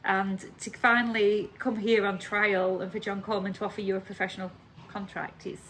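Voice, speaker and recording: A woman's voice: mid-range voice, flat delivery, brisk, a bit bright, a little hiss or hum. A woman interviewing a new signing for a football club, asking friendly, encouraging questions.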